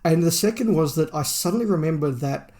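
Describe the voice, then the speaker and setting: A man's voice: fairly high pitch, expressive, a little fast, dark tone. A middle-aged man, discussing and reviewing casually as a podcast co-host.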